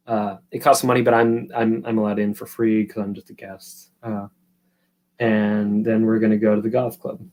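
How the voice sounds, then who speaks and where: A man's voice: fairly low pitch, darkish tone. Profane, rambling man hosting a live stream. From California, US. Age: 30s. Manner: profane, rambling, chatty, answers viewers' chat. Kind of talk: casual storytelling live stream.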